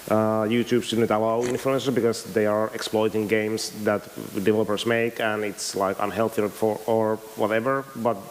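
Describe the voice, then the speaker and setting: A man, a game company head interviewing a guest on a panel, asking long questions full of fillers. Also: on the low side. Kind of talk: panel conversation. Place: event stage. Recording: hissy hall with long reverb.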